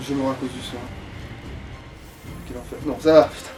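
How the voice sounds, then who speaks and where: A man's voice: mid-range voice, level tone, fairly quick, darkish tone, far from the mic, soft background music. A man playing a video game and chatting casually, muttering and swearing in crude slang as he jokes around. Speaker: let's play gamer.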